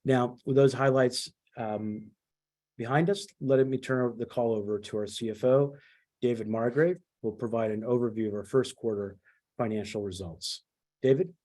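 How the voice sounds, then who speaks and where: A man, a company executive, giving a prepared business presentation on an earnings call, stressing words and pausing mid-sentence. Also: mid-range voice, steady tone, warm tone.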